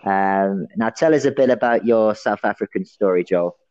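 A man's voice: on the low side, moderate pace, darkish tone, no room sound, dull audio. A man interviewing a guest in a friendly, encouraging way who laughs easily. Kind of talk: casual interview.

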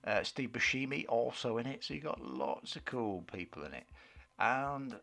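A man co-hosting a podcast, chatting casually about film trailers in a husky voice, rambling and searching for words. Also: mid-range voice, very animated, moderate pace, bright, forceful tone. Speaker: podcast co-host.

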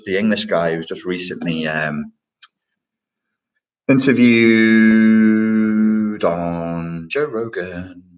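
A man hosting a casual live question-and-answer stream, talking in a rambling, reflective way with many fillers and restarts. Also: sits low. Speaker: livestream host answering chat questions.

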